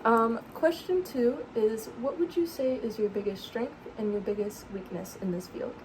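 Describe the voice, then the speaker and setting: A woman interviewer reading numbered questions and giving brisk, encouraging replies. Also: a little high, rough recording. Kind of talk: mock job interview questioning. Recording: loud background noise.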